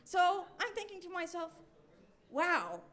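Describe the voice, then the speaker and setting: A woman's voice: extremely high pitch, big pitch swings, slow, fairly bright tone. A young American woman in her twenties performing a live stand-up comedy routine in a chatty, self-deprecating storytelling manner. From Los Angeles, United States. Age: 20s. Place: comedy club stage with live audience. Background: audience laughter.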